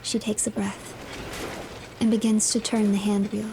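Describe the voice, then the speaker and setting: A woman tells a game's story in a measured, breathy storyteller's voice. Her pitch stays very even, with a gravelly fry at the edges. Clear ambient noise sits behind her. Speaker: streamer narrating a game. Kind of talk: dramatic story narration, often breathy and hushed.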